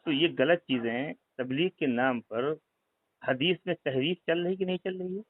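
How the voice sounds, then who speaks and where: A man's voice: a little high, measured pace, no echo. Insistent man on a tinny phone line. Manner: insistent, probing, repeats leading questions. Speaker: caller questioning a religious group member. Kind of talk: phone conversation, religious argument. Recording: tinny narrowband phone call recording.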